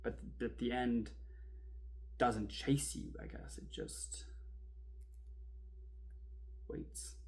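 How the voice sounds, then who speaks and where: An anxious, bitter man played by an actor confesses his dread of dying in a horror audio drama. His mid-pitched voice is halting and intense, breaking phrases apart. A faint noise sits behind the recording. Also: wildly swooping pitch, gravelly, full character voice. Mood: haunted, resigned.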